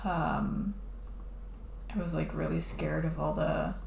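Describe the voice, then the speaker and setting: Casting: woman streamer, lowish voice, rambling casual chat, echoey room with faint hiss. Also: level tone, takes it slowly, creaky, a little mellow. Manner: rambling, lots of "like" and restarts. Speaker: streamer drawing a comic. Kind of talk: casual chat while drawing.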